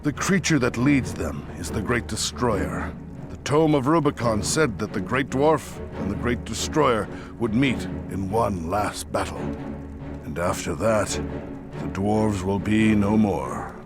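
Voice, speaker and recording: A man's voice: on the low side, expressive, slow pace. A man voicing a grave, fatalistic older dwarf warrior in a video game, speaking with solemn weight. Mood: grave, resigned. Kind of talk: video game cutscene acting. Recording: voice over loud cinematic music.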